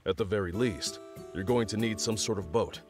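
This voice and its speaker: An actor playing a gruff, shrewd military officer in a video game, matter-of-fact in delivery. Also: male voice, on the low side, moderate pace, soft background music, natural voice.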